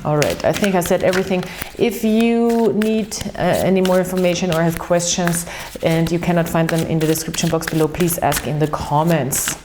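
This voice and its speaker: A woman's voice: fairly low pitch, fairly even intonation, moderate pace, background noise. A woman from Austria with an Austrian German accent, her first language German, giving a casual monthly tarot and astrology reading in a chatty, rambling way with many ums and restarts. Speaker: tarot reader.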